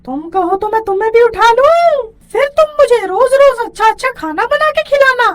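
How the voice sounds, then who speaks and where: A woman voicing a hungry, greedy witch in an animated children's story, exclaiming and gloating as she schemes. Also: very high voice, moderate pace. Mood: gloating, bossy.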